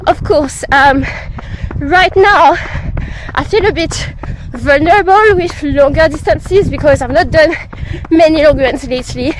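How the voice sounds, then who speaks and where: A woman's voice: high voice, unhurried, low-quality recording. A woman with a blocked nose from a head cold, talking plainly and wearily while out on a run. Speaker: running vlogger. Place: outdoors on a run.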